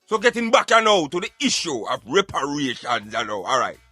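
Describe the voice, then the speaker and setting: A man with a Jamaican accent giving emphatic, argumentative, streetwise opinions in animated radio banter. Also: a little high, a little fast, breathy edge, no room sound, faint music behind. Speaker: radio show host.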